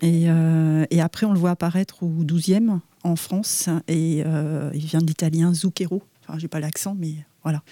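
Low, mellow-voiced woman explaining hesitantly. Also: fairly even intonation, normal speed, hardly any pauses, dry recording. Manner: hesitant, many "euh", soft half-whispered asides. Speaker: guest, food engineer. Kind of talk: radio interview explanation.

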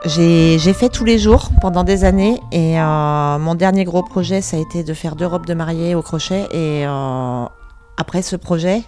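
A woman in her forties chatting casually about her knitting, relaxed and laughing often. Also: fairly low pitch, normal speed, soft background music. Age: 40s. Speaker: knitter sharing her experience. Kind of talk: casual chat.

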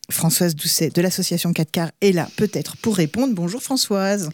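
A woman's voice: fairly low pitch, moderate pace. A woman hosting a local radio food magazine, chatty and playful, introducing guests and asking questions. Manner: lively, warm interviewer voice, teasing asides. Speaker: radio host.

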